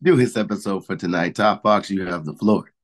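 Hoarse man hosting a podcast. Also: low voice, fairly quick. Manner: rambling, filler-heavy announcements. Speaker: podcast host. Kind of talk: casual podcast chat.

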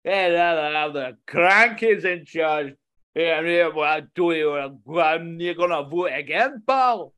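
Man doing an excitable high-pitched babbling Irishman. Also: takes it slowly, clear, resonant tone. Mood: excited, babbling. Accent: put-on Irish. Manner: rambling, repeats himself.

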